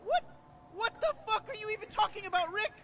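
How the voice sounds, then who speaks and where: A very high, squeaky teenage boy who stammers over nearly every phrase. He sounds nervous and whiny, tripping over his words as he protests. The recording picks up open outdoor street sound. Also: lively intonation, brisk, lo-fi sound, very noisy. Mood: exasperated.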